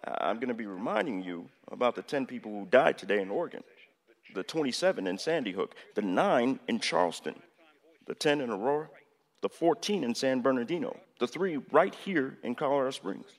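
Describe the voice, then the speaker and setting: An actor in a radio play voicing an earnest, middle-aged American president who speaks deliberately, with hesitations and a lecturing manner. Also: male voice, medium pitch, expressive, lightly acted. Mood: measured, insistent. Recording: long hall reverb.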